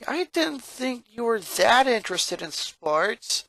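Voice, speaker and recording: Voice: medium pitch, very animated, takes it slowly, vocal fry, a bit bright, slight background noise, voice pushed up, exaggerated voice. A narrator voicing a friendly, curious nerdy preteen boy in a cartoon fan-fiction reading.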